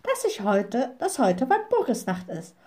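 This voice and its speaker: A woman's voice: a little high, huge pitch swings, unhurried, a little mellow, pitched above the performer's own voice. A woman voicing a small, hot-tempered young witch from a storybook, stubborn and indignant. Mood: sulky, indignant. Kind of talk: storybook character voice.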